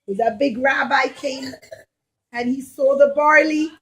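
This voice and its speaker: Woman, nursery storyteller, high-pitched, slow-paced, emphatic, questioning, speaking to young children. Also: a bit bright. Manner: questioning, emphatic, drawn-out key words. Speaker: nursery teacher and puppet show storyteller.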